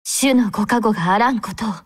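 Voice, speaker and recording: A woman voicing a devout young female android squad captain in an anime game, speaking formally and with gentle composure. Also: on the high side, a little fast, natural voice. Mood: solemn, resolute. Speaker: game character voice actor.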